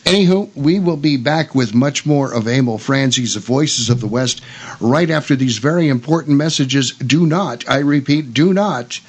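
A man's voice: mid-range voice, normal speed, slight background noise. A man co-hosting a casual radio chat show in a folksy, jokey way.

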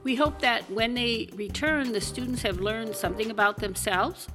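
Woman professor explaining over soft music. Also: fairly high pitch, normal speed. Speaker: professor and podcast co-host. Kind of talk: podcast interview, measured explaining.

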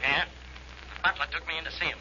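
An actor playing a blustering, excitable American police inspector in 1940s radio drama, insistent and grudging. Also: male voice, a little high, fast. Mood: blustery, doubtful. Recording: noisy, tinny old radio recording.

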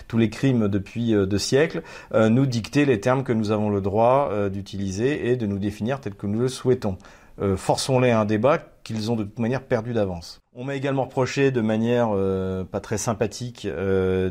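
A man's voice: fairly low pitch, darkish tone. A man hosting his own channel, answering viewer comments in an unscripted, argumentative monologue full of fillers and repeated words. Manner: argumentative, defensive.

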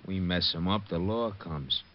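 An actor playing a cool, calculating gangster boss with slangy, mock-friendly menace in a radio crime drama. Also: male voice, low voice, a little slow. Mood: controlled, warning. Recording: muffled old radio recording, faint hiss.

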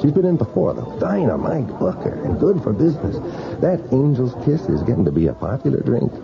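A man's voice: medium pitch, very animated, brisk, gravelly, over a noisy crowd. An actor in a 1980s radio drama playing a cynical, wisecracking male bartender. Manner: wisecracking, sly. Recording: dull lo-fi radio recording.

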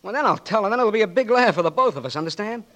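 A man's voice: high voice, lively intonation, very fast, a bit bright. An actor playing a brash, slang-talking young Marine patient who has lost his sight, in a 1940s radio drama. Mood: cocky. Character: young wounded Marine, cocky tough-talking, blinded.

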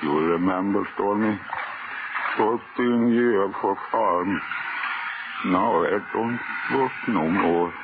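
Slow, deep, vengeful drowned ghost. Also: male voice. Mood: taunting. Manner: slow, taunting, mock-friendly. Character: vengeful drowned man haunting his killer, slow and menacing. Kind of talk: 1940s radio horror acting. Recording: muffled, noisy old radio recording.

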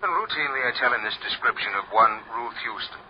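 Guy doing a talky showy cop, quick and animated, old radio show with hiss. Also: medium pitch, natural voice. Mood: gleeful, storytelling. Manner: florid, chatty. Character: wordy, theatrical male harbor policeman, pleased with himself. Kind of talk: 1950s radio crime drama acting. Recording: muffled hissy old radio recording.

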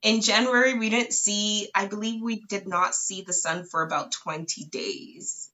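Bright-voiced woman from Ghana, lo-fi. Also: medium pitch, fairly even intonation, measured pace. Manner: short, upbeat answers with light laughs. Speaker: podcast guest. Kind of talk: casual interview answers. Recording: dull lo-fi sound.

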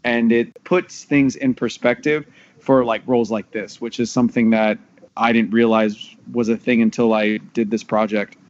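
A man's voice: medium pitch, flat delivery, normal speed. An American man speaks as a voice actor in a casual panel discussion. His delivery is candid and rambling, with little melodic movement. The audio is a bit dull and lo-fi. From Texas, USA.

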